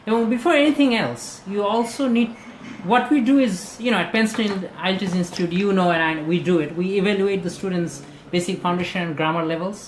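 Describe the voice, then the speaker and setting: A man talks through exam advice in a casual discussion. He pauses often and fills the gaps with hesitations. The sound is roomy, with a faint background noise. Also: high-pitched. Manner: hesitant, many fillers, slow deliberate phrasing. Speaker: IELTS trainer. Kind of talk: casual discussion, mixing in Bengali. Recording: echoey room with faint ambient noise.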